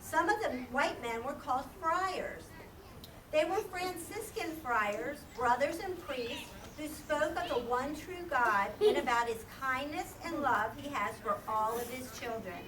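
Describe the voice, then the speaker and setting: A high-voiced woman tells a scripted story as narrator of a children's puppet show. She is recorded from a distance in an echoey room, with clear background noise. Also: lively intonation, a little slow.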